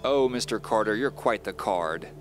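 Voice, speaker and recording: A man's voice: fairly low pitch, expressive, normal speed, faint music behind, voice pushed up, close to an everyday voice. A man voicing a kindly, grandmotherly widow with a folksy, teasing, chatty manner in an audio drama. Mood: playful.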